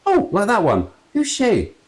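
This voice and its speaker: A man voicing a ghostly father in a storybook, rambling, fussy and excitable. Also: high-pitched, measured pace, pitched above the performer's own voice, heavily acted. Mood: theatrical, eager. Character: chatty, grumbling ghost father with theatrical tastes.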